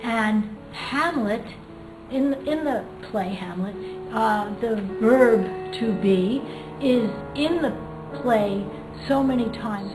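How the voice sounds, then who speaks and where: Echoey woman teacher discussing literature. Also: on the high side. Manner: thoughtful, hesitant, pauses often to find words. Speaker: English teacher. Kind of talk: poetry reading and informal literary explanation. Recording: echoey room with music behind.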